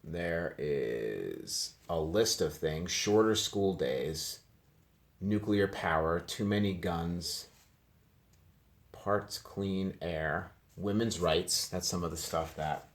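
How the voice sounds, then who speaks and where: Male read-aloud teacher, very deep voice, casual and simple explanations pitched at first graders. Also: slow pace. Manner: explains pictures and words simply for young children. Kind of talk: children's picture book read-aloud with casual commentary.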